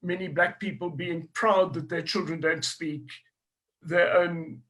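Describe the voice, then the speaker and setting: A middle-aged South African man with a higher-pitched voice talks through his ideas earnestly as a historian guest. He thinks aloud, restarting his phrases and circling back to his point. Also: fairly even intonation, measured pace, fairly bright tone. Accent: South African English. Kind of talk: panel discussion.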